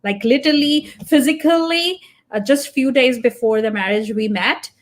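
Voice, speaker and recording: A woman's voice: high-pitched, normal speed. A woman whose first language is Punjabi, speaking as a podcast guest in an earnest, reflective way, thinking aloud. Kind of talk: casual interview talk.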